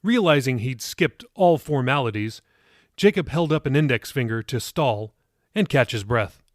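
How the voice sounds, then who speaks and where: A man's voice: mid-range voice, animated, moderate pace, no echo, studio quality. A man reading scripted material for his own podcast, as author and narrator.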